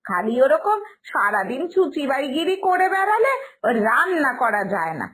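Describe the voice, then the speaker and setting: A woman's voice: high voice, big pitch swings, normal speed, fairly bright tone. A woman voicing a boastful, gossipy middle-aged aunt in a cartoon drama, chatty and catty, with a West Bengal accent.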